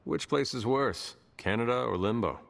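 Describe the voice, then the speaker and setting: An actor playing a stoic legendary warrior in a video game, speaking in a stiff, formal manner. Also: male voice, medium pitch, normal speed, vocal fry, a bit bright. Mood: reserved, curious. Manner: stiff, formal, avoids contractions. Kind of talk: video game cutscene acting.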